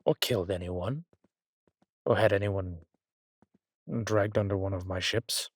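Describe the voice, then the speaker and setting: A man playing an arrogant, petulant villain king in an audio drama, with a drawling, theatrical, sardonic delivery and a breathy, husky voice. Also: deep voice, steady tone, measured pace, no echo, clean studio sound. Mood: defensive, sardonic. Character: arrogant, petulant villain king, cruel yet doting on his consort.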